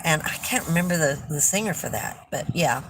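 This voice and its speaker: An older woman from California, hosting a casual livestream, chatting with viewers and telling stories in a loud voice. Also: low-pitched, lively intonation, brisk, background noise. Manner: chatty, warm storytelling with restarts. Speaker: livestream host. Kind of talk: casual livestream chat reading viewer comments.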